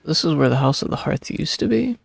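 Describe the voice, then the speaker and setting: A man's voice: on the high side, big pitch swings, fairly quick, creaky, husky. A man streaming a video game, casually reading dialogue choices aloud. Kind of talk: casual let's-play read-aloud. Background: game music.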